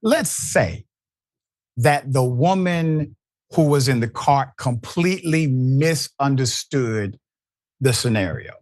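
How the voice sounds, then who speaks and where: A man's voice: mid-range voice, lively intonation, takes it slowly, darkish tone, dry recording, clean studio sound. A man hosting a news commentary show, reading reports and then arguing his view with rising emphasis. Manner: emphatic, rising to stress points. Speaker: host.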